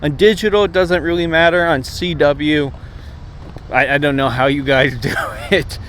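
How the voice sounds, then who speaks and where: A man's voice: on the high side, fairly even intonation, moderate pace, fairly bright tone. A man in his thirties with an American accent, chatting casually to viewers as he gives instructional tips into a camera held at arm's length. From United States. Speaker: amateur radio vlogger. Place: outdoors, open park path beside water. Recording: outdoor recording with traffic and machinery noise. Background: jets, vehicles, urban outdoor noise.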